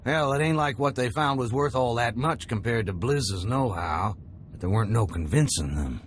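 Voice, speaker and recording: An actor playing a good-hearted working-class salvager in a video game, folksy, drawling and earnest. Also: male voice, medium pitch, expressive, moderate pace, a little hiss or hum, natural voice. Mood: regretful, earnest. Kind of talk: video game character acting. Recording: music behind the voice.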